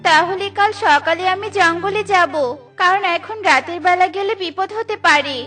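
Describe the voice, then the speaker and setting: A woman's voice: extremely high pitch, moderate pace, sharp tone, faint music behind. A woman voicing a caring, resolute mother bird in a children's cartoon, earnest and motherly. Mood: caring, determined.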